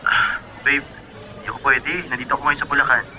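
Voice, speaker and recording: Very bright, fast-talking young fiancé. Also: male voice, medium pitch, flat delivery, a little hiss or hum. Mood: evasive, hurried. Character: evasive young fiancé on the phone. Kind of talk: radio drama dialogue. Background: music bed.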